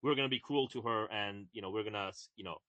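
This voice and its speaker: Emphatic young man, bright dry voice. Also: lowish voice, fast talker, no room sound, lo-fi sound. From Bangladesh. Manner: emphatic, repeats words, many "right?" and "like". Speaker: podcast co-host. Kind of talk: casual political chat.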